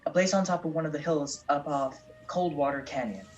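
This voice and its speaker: A man playing a hesitant, obliging taxi driver with a casual, halting manner in a 1940s-style radio play. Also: fairly high pitch, a little mellow, faint music behind, natural voice. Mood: helpful.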